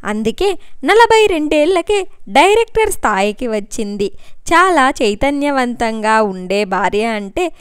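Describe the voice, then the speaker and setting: A woman's voice: on the high side, sing-song, a little slow, clean tone, no echo, studio quality. A woman narrating a scripted family story in the manner of an audiobook.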